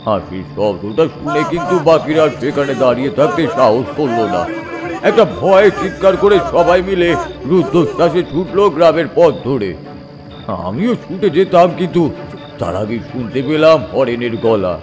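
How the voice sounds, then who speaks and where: A male actor plays an older village storyteller in a horror audio drama, with a very animated, swooping delivery. He builds suspense deliberately with dramatic pauses, over faint background music. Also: on the high side, crowd noise, close to an everyday voice. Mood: suspenseful. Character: older village man recounting an eerie youthful ghost encounter.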